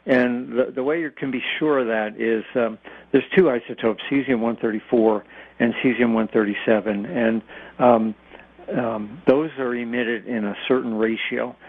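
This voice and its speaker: Older man, halting, on a phone-like line. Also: mid-range voice, steady tone, creaky. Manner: halting, explanatory, with repeated words. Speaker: guest nuclear engineering expert. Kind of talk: conversational radio interview. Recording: narrow, phone-like radio line.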